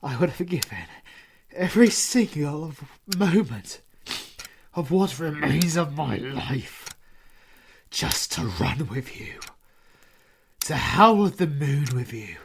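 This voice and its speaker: A British man playing a grieving, bitter werewolf villain in an audio roleplay, speaking in a theatrical, anguished, breathy manner. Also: fairly high pitch, lively intonation, a little hiss or hum, exaggerated voice. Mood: anguished, rising. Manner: slow, theatrical, anguished, often breathy and half-whispered. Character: grieving male werewolf villain, bitter and powerful, mourning his mother. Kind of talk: scripted audio roleplay monologue.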